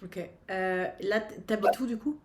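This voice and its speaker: A woman's voice: mid-range voice, normal speed, darkish tone. A woman hosting a live stream in a blunt, casual way, swearing now and then.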